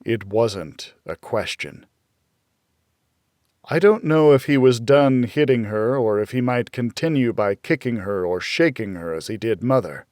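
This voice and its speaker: Slow, deliberate male audiobook narrator. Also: medium pitch, expressive, darkish tone, dry recording, clean studio sound. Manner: measured, deliberate, dramatic storytelling. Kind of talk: scripted first-person fiction narration.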